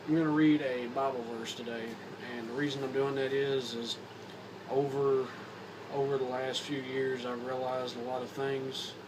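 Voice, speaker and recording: Hoarse Kentucky man rambling, flat voice. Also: medium pitch. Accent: Kentucky Southern. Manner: rambling, halting with repeats and fillers. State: slightly hoarse from a cold. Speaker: vlogger. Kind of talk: casual talk to camera. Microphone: phone or camera mic. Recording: distant camera mic with background noise.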